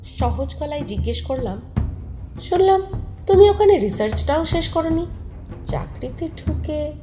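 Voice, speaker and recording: Flustered young wife, high-pitched and expressive. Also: female voice, unhurried. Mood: nervous, yearning. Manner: expressive, emotional, intimate first-person telling. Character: flustered young married Bengali woman narrating her secret love. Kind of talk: dramatised short-story narration with acted dialogue. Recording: music behind the voice. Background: soft music.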